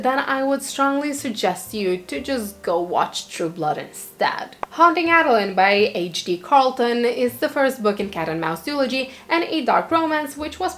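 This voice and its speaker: A young woman from Lithuania, a native Lithuanian speaker, giving candid, opinionated book reviews in English in a chatty vlog style with the odd filler. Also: on the high side. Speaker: book vlogger.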